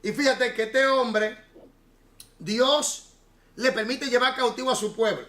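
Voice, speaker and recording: A male pastor teaching a Bible class, his voice pitched high for a man and ringing bright and sharp. He explains at length and stops to quiz his students. The sound bounces around an echoey church room. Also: measured pace.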